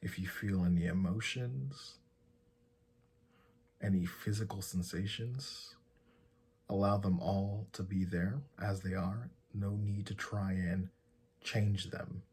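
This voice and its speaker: A man leading a guided meditation in a soft, breathy, husky voice, giving calm and gentle instructions with long pauses. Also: deep voice.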